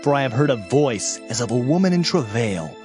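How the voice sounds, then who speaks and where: A man's voice: mid-range voice, very animated, brisk, a little mellow, soft background music, close to an everyday voice. A man playing an anguished Hebrew prophet in a dramatized scripture reading, lamenting and pleading with theatrical emphasis. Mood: mournful warning.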